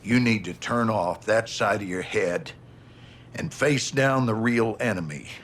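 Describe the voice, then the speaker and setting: An actor playing a stern, self-righteous general in a military game, measured and authoritative. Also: male voice, lowish voice, fairly bright tone, slight background noise. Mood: stern, insistent. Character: stern, defiant, self-righteous older male American general. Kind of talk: dramatic game acting.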